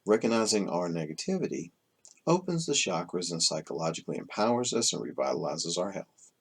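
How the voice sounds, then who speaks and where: Very deep, gravelly male book narrator. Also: sing-song, brisk. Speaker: audiobook reader. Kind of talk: scripted narration, reading a self-help book aloud.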